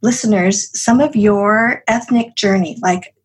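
A woman hosting a podcast who asks her guest questions with gentle, encouraging prompts and small hesitations. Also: medium pitch, unhurried, husky. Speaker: podcast host. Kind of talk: interview questions.

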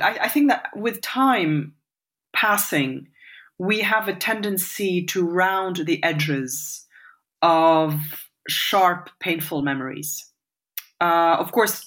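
A woman in her forties from Lebanon, speaking as an interview guest in a measured, reflective way with fillers and restarts. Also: fairly low pitch, fairly bright tone. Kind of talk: thoughtful remote interview answers.

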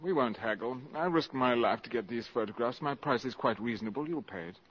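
An actor playing a suave, cynical valet turned spy in a radio drama, with a polished, mocking manner. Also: male voice, medium pitch, animated, brisk, a bit bright, slightly rough. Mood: mocking, assured. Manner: polished, mocking, cool and superior. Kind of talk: 1950s radio drama acting. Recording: muffled old radio broadcast recording.

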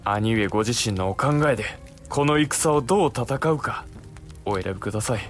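An actor playing a samurai lord's level-headed younger brother in a Japanese period audio drama, speaking politely and formally, in an admonishing way. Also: male voice, fairly low pitch, moderate pace, faint music behind, natural voice. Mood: urgent admonishing.

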